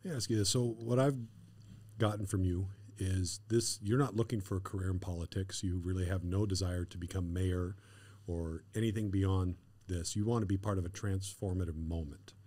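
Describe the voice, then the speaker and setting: A man hosting a podcast, asking measured questions and offering brief affirmations. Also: deep voice, steady tone, mellow. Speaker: podcast host. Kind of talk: interviewer questions.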